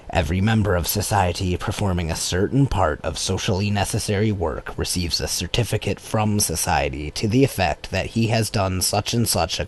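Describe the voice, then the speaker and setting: A man reading a political text as an audiobook in a measured, formal way. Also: sits low. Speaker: audiobook reader. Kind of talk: scripted narration of a political text. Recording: clear background noise.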